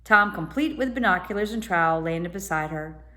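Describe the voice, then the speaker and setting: A woman narrating a children's storybook as a teacher would, pausing to explain words to listeners. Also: fairly low pitch, lively intonation, pushed and bright. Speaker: teacher reading aloud.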